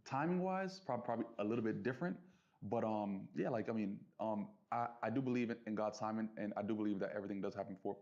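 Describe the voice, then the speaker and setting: The kind of earnest, lowish-voiced man you'd hear as a guest on a homemade entrepreneur podcast, reflecting haltingly through muffled audio. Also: a little mellow. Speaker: interview guest, business entrepreneur. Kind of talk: casual reflective conversation. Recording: dull lo-fi audio.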